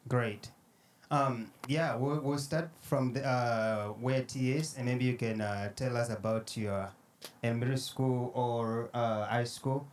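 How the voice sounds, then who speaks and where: A man's voice: mid-range voice, steady tone, a little hiss or hum. Sleepy man from Kenya hosting. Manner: unhurried, many fillers and restarts. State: sleepy, tired late at night. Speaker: podcast host. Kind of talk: casual podcast conversation.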